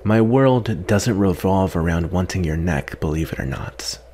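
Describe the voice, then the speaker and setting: A man acting out a proud, sardonic captive vampire in a soft-spoken, disdainful ASMR roleplay. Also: sits low, expressive, a little slow, slight background noise. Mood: scornful, exasperated. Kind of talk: ASMR roleplay, acted monologue.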